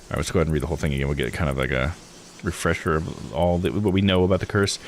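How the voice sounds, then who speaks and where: A man's voice: very deep voice, racing, a little hiss or hum. A man live-streaming a game, reading its text aloud and commenting in a laid-back, informal way. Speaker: live-streamer playing a visual novel.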